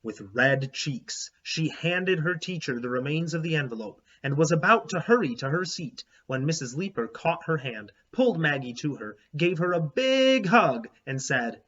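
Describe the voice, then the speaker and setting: Higher-voiced man reading aloud to children. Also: normal speed, few pauses, lo-fi sound. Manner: animated, emphatic storytelling with questions to listeners. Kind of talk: expressive children's read-aloud narration with asides to young listeners.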